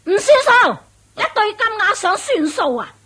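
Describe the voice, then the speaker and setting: A woman's voice: extremely high pitch, sing-song, pushed and bright, heavily acted. A woman playing a shrewish, domineering and vengeful witch in a radio drama, nagging and taunting in Hong Kong Cantonese. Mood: demanding. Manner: nagging, taunting, drawn-out emphatic delivery. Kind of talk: theatrical radio drama acting.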